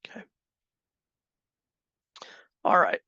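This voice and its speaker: A man teaching a live trading class in a conversational way, asking the class questions, with a slightly hoarse voice. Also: drawn-out words, sharp tone, dry recording, dull audio. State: slightly hoarse, clearing throat. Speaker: trading instructor.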